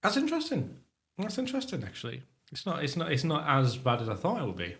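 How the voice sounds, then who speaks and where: A man co-hosting a podcast in casual chat, sniffly, talking in a halting, rambling way with many restarts. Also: fairly high pitch, very animated, vocal fry, fairly bright tone. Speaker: podcast co-host. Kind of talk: casual chat while tasting hot sauce.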